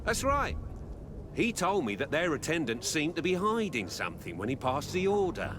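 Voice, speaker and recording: Slippery, high-voiced, lilting male underling. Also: sing-song, normal speed, background noise. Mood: defensive. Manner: deferential, whiny, excuse-making. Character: evasive merchant's apprentice, servile, stubborn, slippery underling. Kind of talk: scripted video game dialogue. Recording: music behind the voice.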